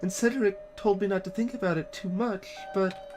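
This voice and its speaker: A woman voicing a gentle, selfless lost child in a video game, speaking in a hesitant, earnest way. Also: medium pitch, fairly even intonation, moderate pace, over music, lightly acted. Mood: apologetic, sympathetic. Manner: hesitant, soft-hearted, earnest. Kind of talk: voiced video game dialogue reading.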